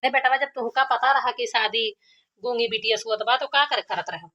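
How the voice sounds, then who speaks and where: Woman playing a bright-voiced Awadhi village mother. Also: fairly high pitch, moderate pace, lo-fi sound. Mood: puzzled. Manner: coaxing, questioning. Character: groom's mother, coaxing, practical village woman. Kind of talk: cartoon comedy acting.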